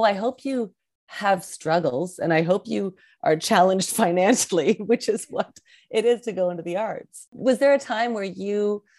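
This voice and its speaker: A woman's voice: mid-range voice, a little mellow. A woman hosting a podcast interview, chatty and gushing with enthusiasm. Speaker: podcast host.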